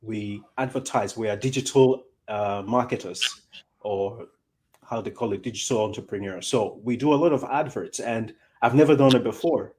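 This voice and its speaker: A man guesting on a casual talk show, relaxed and using "you know" a lot. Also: fairly low pitch, moderate pace, a little hiss or hum. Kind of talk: casual chat.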